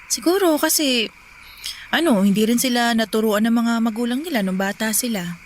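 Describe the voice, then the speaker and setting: An actress playing an affectionate, chatty younger sister and doting aunt in a radio drama. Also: female voice, a little high, moderate pace, a little hiss or hum, natural voice. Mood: fond, admiring. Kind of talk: radio drama dialogue in Tagalog.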